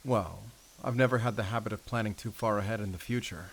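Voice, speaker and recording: Man acting a measured, battle-worn werewolf hunter. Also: lowish voice. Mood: pensive, tender. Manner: measured, deliberate, pausing between short phrases. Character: battle-worn male werewolf hunter, calm, wry, quietly tender. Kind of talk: cinematic audio roleplay acting.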